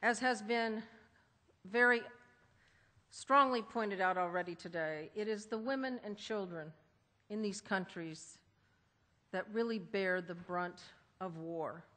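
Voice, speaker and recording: Measured woman speaking in a hall. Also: mid-range voice, sharp tone. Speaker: panelist. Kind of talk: conference panel speech. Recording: echoey conference hall.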